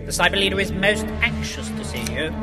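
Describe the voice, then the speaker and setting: A man's voice: high-pitched, fairly quick, natural voice. An actor playing a sinister male henchman who gloats and threatens. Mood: threatening. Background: music.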